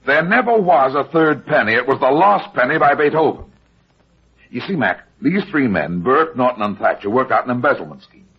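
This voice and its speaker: An actor playing a wisecracking, unflappable heavyset private detective in a vintage radio drama, wry and sardonic. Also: male voice, medium pitch, expressive, moderate pace, breathy edge. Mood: confident, explaining. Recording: muffled vintage radio recording.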